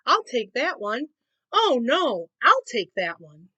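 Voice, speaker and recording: A storybook bear cub, played by a woman, argues loudly and bossily, greedy for the biggest share. The pitch jumps around in insistent, bickering bursts. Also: sing-song, slow, breathy edge, no echo, full character voice. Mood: bossy, squabbling. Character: squabbling greedy bear cubs, brother and sister, loud and bossy.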